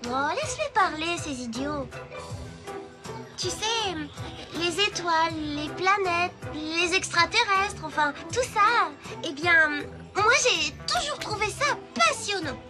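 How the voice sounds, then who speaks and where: A woman playing a kind, friendly, curious schoolgirl in a cartoon dub, speaking in an expressive, natural way. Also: very high voice, takes it slowly, music in the background, full character voice. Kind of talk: animated series dubbing.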